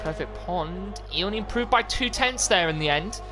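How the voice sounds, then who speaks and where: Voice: medium pitch, lively intonation, normal speed, over music. A commentator giving live play-by-play of an online motor race on a stream. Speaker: esports racing commentator.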